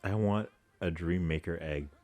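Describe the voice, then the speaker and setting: A man's voice: low-pitched, moderate pace, mellow, soft background music. A man giving casual, wry commentary as the host of a movie reaction video.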